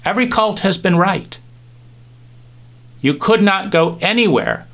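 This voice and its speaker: A middle-aged man giving a reflective talk, musing with pauses and restarts as he tells stories. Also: high-pitched, animated, vocal fry, fairly bright tone. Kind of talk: reflective monologue with anecdotes.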